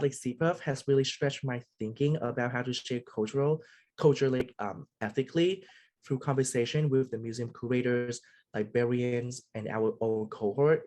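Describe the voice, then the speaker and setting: A young man, a student speaker, sharing reflections at a presentation in a casual, halting way. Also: medium pitch, a little mellow. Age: young adult. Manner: casual, filler-heavy, halting.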